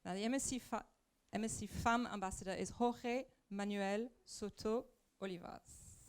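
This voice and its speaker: A woman presenting at a graduation ceremony, making friendly, clear announcements. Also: mid-range voice, normal speed, slight background noise. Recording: hall microphone with music behind.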